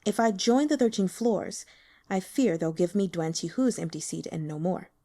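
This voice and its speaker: A woman reads a frail young male strategist, calm and shrewd, with measured, guarded speech. The tone is mellow and soft, and the pitch rises and falls with some life.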